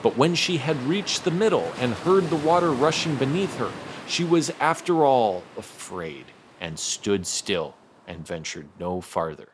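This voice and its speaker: A man narrates a children's tale in an expressive read-aloud style. His mid-pitched voice moves animatedly, and his phrasing is careful and theatrical. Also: unhurried, hardly any pauses, background noise. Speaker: storyteller.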